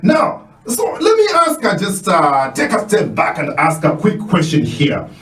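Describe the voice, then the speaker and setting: A man's voice: fairly high pitch, a little slow, roomy sound, far from the mic. Effusive Kenyan man hosting a radio show. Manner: exclaims often, animated and effusive. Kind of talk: radio interview. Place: radio studio.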